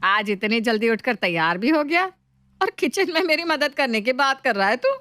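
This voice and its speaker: A woman's voice: a little high, sing-song, normal speed, a bit bright, no room sound. A woman voicing a pushy, coaxing middle-aged mother, exasperated and teasing. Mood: surprised, teasing.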